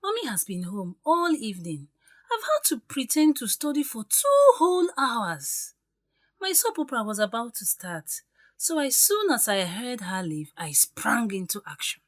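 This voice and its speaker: A woman playing a cheeky, easygoing student girl, playful and animated, in an audio drama. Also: on the high side, normal speed. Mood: cheeky, conspiratorial.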